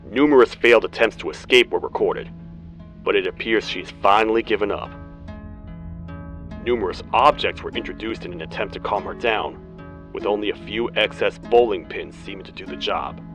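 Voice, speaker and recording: Man voicing a low, stern toad sheriff. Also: normal speed, music in the background, natural voice. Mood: solemn, matter-of-fact. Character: stern, weary male cartoon toad sheriff. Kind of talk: video game character acting, log narration.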